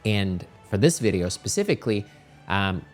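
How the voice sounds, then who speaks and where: A middle-aged man, giving casual, animated travel advice as a channel host. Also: fairly low pitch, normal speed, faint music behind. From Finland.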